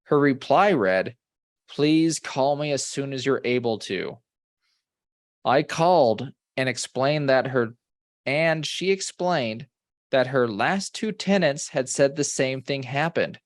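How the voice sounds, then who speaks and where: A man's voice: mid-range voice, lively intonation, takes it slowly, no echo, clean studio sound. A man co-hosting a comedy podcast, reading ghost stories aloud with wry, bantering asides. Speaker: podcast co-host.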